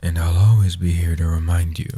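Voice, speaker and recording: A man voicing a gentle old guardian vampire in a soft-spoken comfort roleplay, slow and soothing, with a husky, half-whispered delivery. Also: low voice, fairly even intonation. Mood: tender, reassuring.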